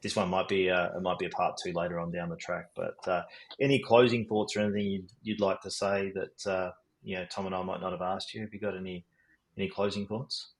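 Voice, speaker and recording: A middle-aged man co-hosting a podcast, chatty and relaxed, with lots of fillers and "mate". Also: low voice, fairly quick. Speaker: podcast co-host. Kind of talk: casual podcast chat.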